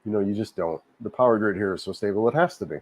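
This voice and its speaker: Slightly hoarse man chatting on a livestream. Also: lowish voice, fast talker, no room sound. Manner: rambling, repeats himself, frequent restarts. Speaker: livestream host. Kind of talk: casual livestream chat reading viewer comments. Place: home in Cebu, Philippines.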